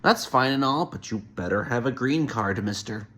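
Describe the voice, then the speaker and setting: A man giving comedic reaction commentary with sarcastic, deadpan quips. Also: mid-range voice, normal speed, over music.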